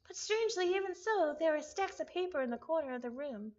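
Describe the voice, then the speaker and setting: A woman's voice: very high-pitched, lively intonation, fairly quick, darkish tone, dull audio. A woman reading a story aloud live with casual asides, often stumbling over and repeating words. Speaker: visual novel reader, streamer.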